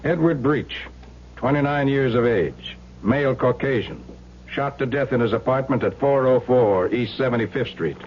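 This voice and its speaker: A man's voice: medium pitch, normal speed, lightly acted. A man playing a stern, methodical police lieutenant in a 1970s radio drama, firm and pressing. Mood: accusing. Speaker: actor. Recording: dull, noisy old radio recording.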